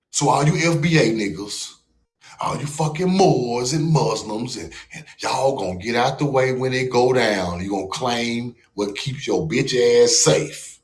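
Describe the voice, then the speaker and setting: A man's voice: mid-range voice, lively intonation, a little slow, a little hiss or hum. A man from the United States hosting a livestream, ranting in a mocking, confrontational and profane way. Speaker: livestream host. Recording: roomy echo.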